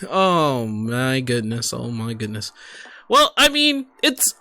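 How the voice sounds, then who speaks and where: A man's voice: medium pitch, huge pitch swings, slow pace, bright, forceful tone, dry recording. A tipsy man giving casual, rambling reaction commentary as a streamer, exclaiming and addressing viewers directly. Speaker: streamer and gaming video host.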